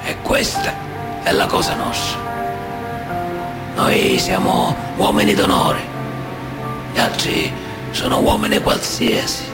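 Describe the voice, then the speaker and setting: An actor playing a proud, ominous man of honour in a solemn, deliberate radio drama monologue. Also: sits high, huge pitch swings, gravelly, full character voice. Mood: proud, menacing. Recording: music behind the voice.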